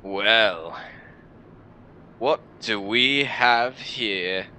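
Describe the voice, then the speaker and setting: A man's voice: lowish voice, extremely slow, bright, forceful tone, slight background noise, full character voice. A man voicing a jaded, mocking young woman warrior in a visual novel, with sardonic, sighing delivery. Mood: mocking, gloomy.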